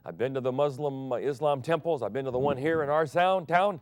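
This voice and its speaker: A man preaching a broadcast sermon as pastor, swinging between loud emphatic declarations and soft hushed phrases. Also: medium pitch, fairly quick, dry recording, clean studio sound. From Thailand.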